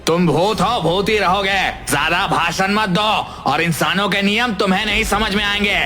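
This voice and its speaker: Man as a sharp, high-voiced arrogant factory manager. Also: moderate pace. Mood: contemptuous. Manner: curt, scolding, dismissive. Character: arrogant, dismissive male factory manager, cartoon villain. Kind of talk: animated cartoon character acting. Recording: music bed under the voice.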